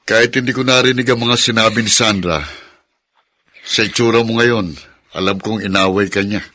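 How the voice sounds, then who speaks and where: A protective older male cousin with a low, forceful Filipino voice. He is earnest and sympathetic, in the manner of a radio drama. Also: steady tone, moderate pace. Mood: indignant. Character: protective, consoling older cousin, indignant on her behalf.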